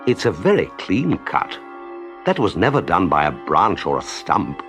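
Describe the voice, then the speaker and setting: Man as a brisk, animated storybook rat. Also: deep voice, soft background music, full character voice. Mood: sympathetic, puzzled. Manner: brisk, emphatic, well-mannered. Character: good-natured brisk male water rat, practical, excitable. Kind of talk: children's storybook character voice.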